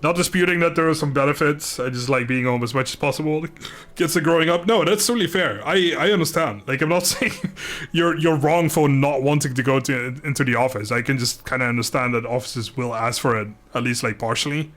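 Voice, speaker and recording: A man livestreaming a video game, chatting informally and thinking aloud in a halting, casual way. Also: medium pitch, a bit bright. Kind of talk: casual livestream chat over gameplay.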